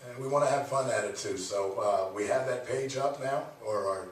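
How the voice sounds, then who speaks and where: A man's voice: mid-range voice, fairly quick. A man hosting a local radio talk show, chatting casually in a joking, teasing, playful way. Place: radio studio. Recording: echoey room, distant mic, faint noise.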